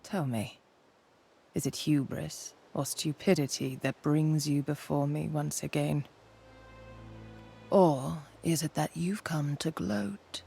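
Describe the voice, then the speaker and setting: Proud scornful nature spirit, raised pitch, gravelly, slow, imperious, game cutscene, faint music. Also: male voice, steady tone, dark tone. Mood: cold contempt. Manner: contemptuous, deliberate, imperious. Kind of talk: video game cutscene acting.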